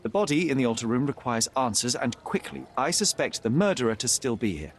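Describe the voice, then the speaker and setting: A young sharp detective, formal and precise, lively pitch. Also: male voice, a little fast. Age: young adult.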